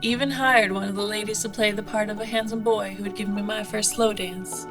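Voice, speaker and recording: An actress playing a candid, wry young woman pilot with a rough past, speaking colloquially in an audio drama. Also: mid-range voice, steady tone, fairly quick, music in the background, close to an everyday voice. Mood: wry, nostalgic. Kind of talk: conversational audio drama acting.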